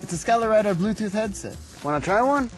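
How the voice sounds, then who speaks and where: A man's voice: sits high, animated, fast talker, a little hiss or hum, poor audio. A man playing a friendly, confident biker in a scripted promo, presenting and chatting about his gear. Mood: casual, friendly. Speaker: presenter and actor in a product promo. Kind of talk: scripted promotional narration and dialogue.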